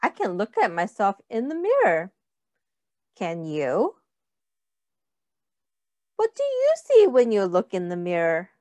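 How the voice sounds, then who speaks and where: A middle-aged woman reading a picture book aloud to young children, with simple, deliberate phrasing and questions to the listeners. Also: medium pitch, huge pitch swings, darkish tone, dry recording.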